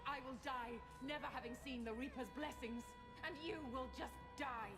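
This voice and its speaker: Woman playing zealot scientist villainess, high voice, grave, doom-laden, video game drama, music behind. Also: fairly even intonation, moderate pace, natural voice. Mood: zealous defiance. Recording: game music over quiet voice.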